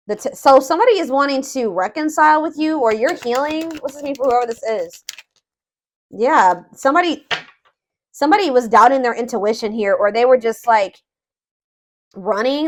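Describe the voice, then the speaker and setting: A woman with a fairly high voice talks through a tarot reading in a casual, unscripted way. She muses aloud, feeling for the right words and circling back to rephrase what she has just said. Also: slight background noise. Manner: hesitant, musing, repeats and rephrases herself. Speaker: tarot reader, host.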